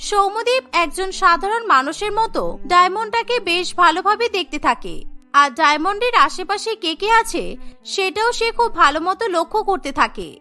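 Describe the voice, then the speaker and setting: A woman's voice: very high voice, animated, brisk, bright, forceful tone, soft background music. A woman narrating a scripted cartoon story in a storytelling manner.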